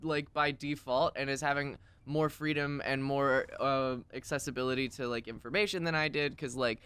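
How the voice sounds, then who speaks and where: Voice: mid-range voice, steady tone, moderate pace, fairly bright tone, smooth. A person chatting casually in a joking, self-deprecating way. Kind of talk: casual chat.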